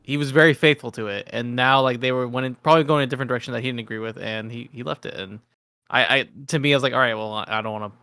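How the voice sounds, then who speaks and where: A man with a mid-pitched voice talks very quickly as a podcast co-host. He is opinionated and informal, swearing now and then in casual conversation. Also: fairly even intonation, pushed and bright, clear, resonant tone, no room sound, studio quality. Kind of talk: casual group chat about entertainment.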